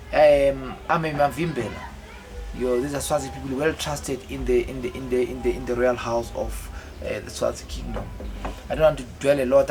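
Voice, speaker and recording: A South African man in his fifties telling his life story in a rambling way, halting often with fillers and repeated words. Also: mid-range voice. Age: 50s. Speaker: autobiographical vlogger.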